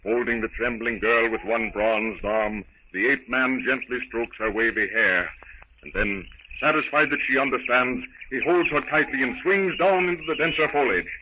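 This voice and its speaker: A man narrating a 1930s radio serial in a dramatic, theatrical, deliberate manner. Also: mid-range voice. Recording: noisy muffled 1930s radio recording.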